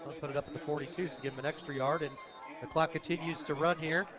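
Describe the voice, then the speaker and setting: An American man doing live radio play-by-play of a football game in an energetic, conversational way. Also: on the high side, quick pace, highs cut off. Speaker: radio play-by-play sports announcer. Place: outdoors at a high school football game. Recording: outdoor stadium broadcast with crowd noise. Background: crowd at a stadium.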